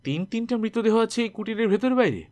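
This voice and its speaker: A man's voice: sits high, lively intonation, fairly quick, a little mellow, voice pushed up. A man voicing a worried, loyal young brother in a story, speaking with urgent, questioning delivery. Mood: shocked.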